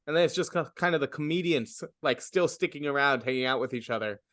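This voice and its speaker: A young man talks things through slowly in a relaxed interview, searching for his words and starting over often. His voice sits at an ordinary middle pitch. The sound is very dry and close. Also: no room sound. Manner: reflective, halting, full of fillers and restarts. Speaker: comedian. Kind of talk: casual interview.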